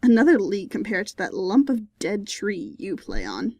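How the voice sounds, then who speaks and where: A woman's voice: medium pitch, wildly swooping pitch, normal speed, a little mellow, breathy edge, no room sound, full character voice. A woman voicing a snobbish female unicorn musician, sneering and taunting, in an audio story. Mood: smug, mocking. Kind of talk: character acting in audio story reading.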